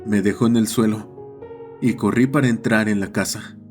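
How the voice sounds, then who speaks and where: Deep-ish guy narrating a creepypasta type horror story, faint music behind him. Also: steady tone, moderate pace, darkish tone.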